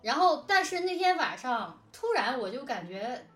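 Woman, higher-pitched, chatty behind-the-scenes explainer, distant roomy mic with music behind. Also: big pitch swings, moderate pace. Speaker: audio drama production team member and lyricist. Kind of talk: casual behind-the-scenes chat.

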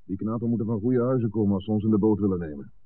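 An actor playing a steady, gruffly practical fisherman father in a 1960s Dutch radio drama. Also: on the low side, rapid-fire, natural voice. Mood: reassuring, resolute. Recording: narrow, muffled 1960s radio recording.

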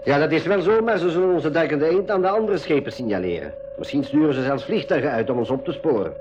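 Man as wary crewmate, animated, highish voice. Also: quick pace, natural voice. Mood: wary, reasoning. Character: alert, wary male crewmate on an adventure craft. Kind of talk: 1980s school radio drama acting. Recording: dull, noisy radio play recording.

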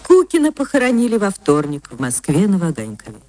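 A woman reading literary fiction as an actress narrator, expressive and theatrical, moving between hushed and swelling phrases. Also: mid-range voice, a little fast, noisy background.

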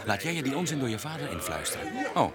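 A man's voice: medium pitch, very animated, quick pace, over a noisy crowd, natural voice. A male actor playing a touchy, surly Polish farm labourer in a radio drama. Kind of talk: Dutch radio drama acting.

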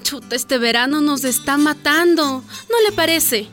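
A courteous lady in a radio drama. She has a high voice and chats on in a plaintive way, with music behind her. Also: a little slow, a bit bright. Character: polite, talkative Ecuadorian woman complaining of the heat. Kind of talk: radio soap opera acting.

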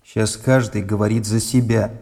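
An actor in a Russian radio play playing a no-nonsense army captain, brisk, clipped and commanding. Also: male voice, lowish voice, fairly even intonation, vocal fry, dark tone. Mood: brisk, firm. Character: no-nonsense army captain keeping order among trapped people.

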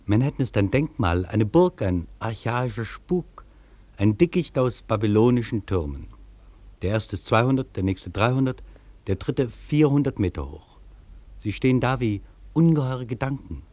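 A male actor playing a reflective traveller, delivering a literary radio monologue in a measured, poetic, declamatory way. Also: mid-range voice, expressive, a little mellow, dry recording, natural voice. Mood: hushed wonder. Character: reflective male traveller marvelling at a vast foreign city. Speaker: actor reading a traveller's first-person account in a radio feature.

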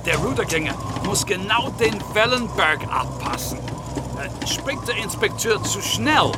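An actor playing a brisk, emphatic naval commander in a German radio drama, speaking haltingly with slightly foreign word order. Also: male voice, high-pitched, lively intonation, noisy background. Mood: emphatic, animated.

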